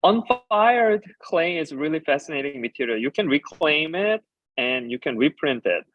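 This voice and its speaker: A man whose first language is Korean gives a conference talk as a designer and ceramicist, explaining his slides with frequent filler pauses. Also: a little high, expressive, no echo, dull audio. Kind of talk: conference presentation about slides.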